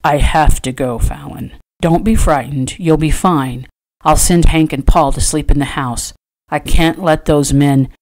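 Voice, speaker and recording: A woman voicing a gruff, stubborn male rancher with a terse, growling delivery in an audiobook. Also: low-pitched, unhurried, a little mellow, poor audio. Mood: firm. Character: gruff, stubborn, commanding cowboy rancher man.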